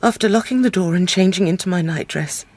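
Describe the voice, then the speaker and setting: An actress playing a shaken but composed young gentlewoman in a radio drama, careful and well-mannered. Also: lowish voice, fairly quick, lightly acted. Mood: fragile, composed.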